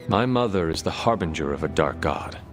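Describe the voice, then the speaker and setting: An actor voicing a solemn, literal-minded male warrior in a video game, speaking formally and earnestly with a deadpan delivery. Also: sits low, fairly quick, soft background music.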